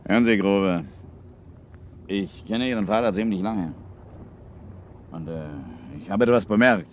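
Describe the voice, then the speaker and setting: Deep-voiced, scheming ship's officer. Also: male voice, measured pace. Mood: sly, insinuating. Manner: sardonic, insinuating. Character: cynical, scheming ship's officer, slyly insinuating older shipmate. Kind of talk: 1950s radio drama acting. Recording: hissy 1950s radio recording.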